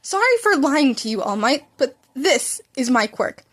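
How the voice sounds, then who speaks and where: Woman as upbeat teenage boy hero for audio story, high-pitched, teasing, breezy. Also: sing-song, a little slow, fairly bright tone, exaggerated voice. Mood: apologetic. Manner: breezy, explanatory. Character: cheerful, teasing teenage superhero boy with deadly quirk.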